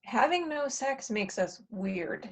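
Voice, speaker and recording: Actress as dubious religious sister, high breathy voice, dry interjections, comic play reading over video call. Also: female voice, expressive, takes it slowly, full character voice. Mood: dry, disbelieving. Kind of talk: virtual table read of a comic play.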